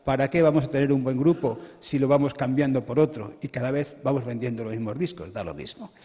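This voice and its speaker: A man's voice: mid-range voice, fast. A man speaking off the cuff as a panelist at a public conference. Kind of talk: spontaneous public panel talk. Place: conference hall, amplified event. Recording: muffled, reverberant hall recording.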